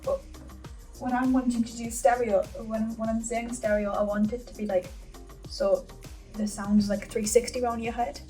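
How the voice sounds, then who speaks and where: A woman hosts a casual behind-the-scenes vlog in a fairly high voice. She rambles chattily, stopping and restarting her sentences. Soft music sits behind her. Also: flat delivery, distant mic. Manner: rambling, halting, self-interrupting. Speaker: video creator and host. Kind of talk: casual chatty vlog about audio production.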